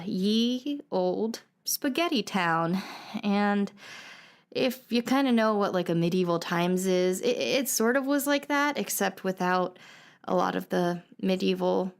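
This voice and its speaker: A woman's voice: mid-range voice, slow, vocal fry. A woman telling an anecdote casually, in a meandering, hesitant, conversational way. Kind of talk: improvised casual storytelling.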